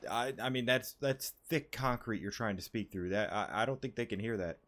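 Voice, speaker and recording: A man's voice: mid-range voice, moderate pace, a bit bright. A man giving casual gameplay commentary as a streamer, with wry, offhand reactions. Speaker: gaming streamer. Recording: game music behind the voice. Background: video game music.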